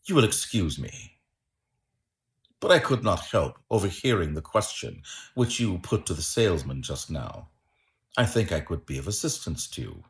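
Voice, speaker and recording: A confident Victorian detective from a storybook, voiced by a male narrator. He speaks with courteous precision and easy authority, his mid-pitched voice moving in lively swings. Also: a little slow. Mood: assured. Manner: assured, polite, precise.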